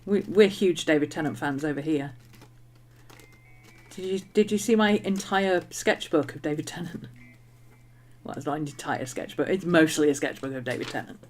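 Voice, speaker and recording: A woman's voice: deep voice, moderate pace, a little mellow. A middle-aged woman from England's West Country, with a mixed West Country and Manchester accent, chatting casually while she crafts, bubbly and full of ums and laughs. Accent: English, mix of West Country and Manchester. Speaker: journaling streamer. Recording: faint background music.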